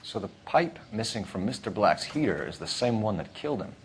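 A sharp American police detective from a 1940s crime game. His somewhat low voice moves in lively swings while staying clipped and matter-of-fact. There is faint noise behind him. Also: fairly quick. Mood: musing, inquisitive. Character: sharp, businesslike male police detective in 1940s Los Angeles. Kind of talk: video game acting, clipped investigative remarks.

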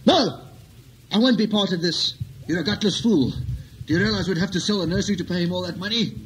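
A man's voice: high voice, fast, over music, natural voice, poor audio. A man playing a scheming, bossy villain in a radio-play parody, with hammy theatrical delivery and wordy diction. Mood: angry, scornful. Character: scheming melodramatic villain, bossy brother and plotter.

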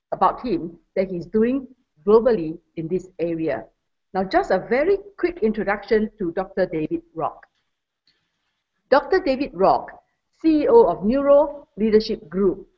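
A woman with a fairly high, lively voice introduces a guest in a formal manner. Her tone is mellow, and the sound comes through dull and lo-fi, as from a webinar line. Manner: warm, formal, reads credentials. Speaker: webinar moderator.

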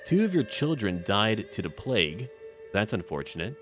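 Deep-voiced man doing game commentary. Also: big pitch swings, normal speed. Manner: thinks aloud, talks to game characters in short remarks. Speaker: gaming video host. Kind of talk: casual in-character strategy game commentary. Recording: music behind the voice. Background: background music.

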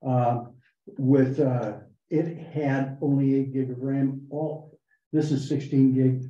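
A man reporting to a town board meeting, talking in a halting way with many fillers and restarts. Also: medium pitch, level tone, mellow. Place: town hall meeting room. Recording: echoey, muffled meeting room recording.

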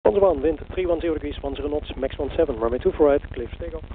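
A man working as a tower air traffic controller, giving clearances in clipped procedural radio phrasing, heard through a scanner. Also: a little high, racing. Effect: radio transmission. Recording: scanner-received radio, noisy narrowband.

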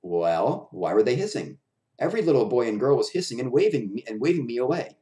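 A man's voice: mid-range voice, sing-song, fairly quick, dark tone, close to an everyday voice. A man voicing a gloomy, worried hobo clown who sounds downcast and doubting, in a storybook reading. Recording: roomy echo of a bare room.

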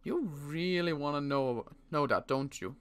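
A man playing a wary adult survivor in an improvised game roleplay, talking in a wry, halting way with frequent restarts. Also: a little high, smooth. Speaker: roleplay player.

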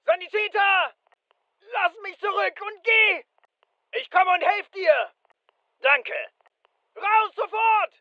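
High-voiced, brisk soldier. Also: male voice, dry recording, dull audio. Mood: urgent. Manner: clipped, matter-of-fact. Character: brisk, businesslike adult male fireteam soldier. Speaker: game voice actor. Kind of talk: military callouts for a video game.

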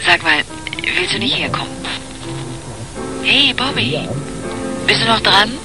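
A woman's voice: mid-range voice, sing-song, a little slow, over music. An actress playing an evasive, coolly teasing woman in a 1980s radio crime drama, speaking with understatement. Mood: tentative.

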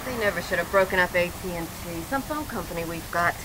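A woman's voice: on the low side, animated, fast talker, noisy background, close to an everyday voice. A woman playing an irritable, grumbling shopper in an audio drama. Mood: exasperated.

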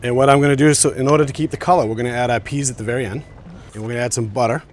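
Chef in his thirties from Maine, quick deep delivery, talking through a recipe over steady background noise. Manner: explains each step as he cooks. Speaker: guest chef. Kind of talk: cooking demonstration chat. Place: outdoor festival tent kitchen.

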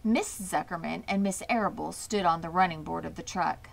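A woman's voice: on the low side, moderate pace, vocal fry. A woman narrating a children's book as a scripted read-aloud. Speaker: storybook reader.

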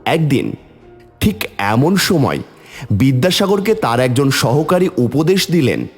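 A man's voice: medium pitch, big pitch swings, slow pace, breathy edge, faint music behind. A man narrating a scripted story in a dramatic storytelling style.

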